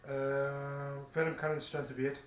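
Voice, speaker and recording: Man, slow hesitant delivery, casual tabletop gaming talk, very echoey room, thinking aloud. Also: medium pitch, level tone, music in the background. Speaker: tabletop war game player. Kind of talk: casual chat while playing a tabletop game. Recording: muffled, echoey room recording with faint noise.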